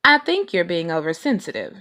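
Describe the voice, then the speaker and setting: A woman voicing a sensible, motherly mama bear in a children's storybook, firm and gently reproving. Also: on the low side, very animated, brisk.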